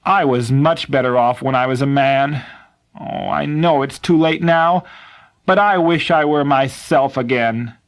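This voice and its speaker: A man's voice: mid-range voice, measured pace, lightly acted. A man voicing a wistful stonecutter who wishes to be stronger, exclaiming in a storybook tale. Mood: regretful. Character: male stonecutter, ever wishing to be stronger.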